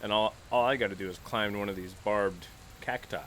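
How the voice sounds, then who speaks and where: A man's voice: fairly low pitch, fairly even intonation, fairly quick, fairly bright tone, a little hiss or hum. A man playing a tabletop role-playing game, casually thinking his moves through aloud.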